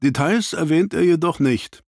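A man voicing an earnest, well-informed gentleman doctor in an audiobook, formal and explanatory. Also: a little high, normal speed, gravelly, no room sound, studio quality, voice pushed up.

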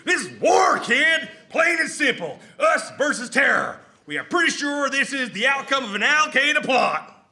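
Loud gung-ho army corporal for radio play, scornful, super high harsh bright voice. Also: male voice, big pitch swings, moderate pace, heavily acted. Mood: belligerent. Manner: brash, bellowing, scornful. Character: blustering, gung-ho army lance corporal barking orders. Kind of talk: live radio drama acting. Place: live stage in a lecture hall.

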